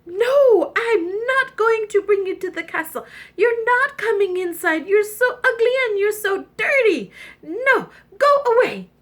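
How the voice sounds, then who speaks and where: A spoiled young princess from a storybook, squealing and whining in a shrill, high voice, as a woman storyteller puts her on. She drags out her words in disgust. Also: expressive, slow, fairly bright tone, full character voice.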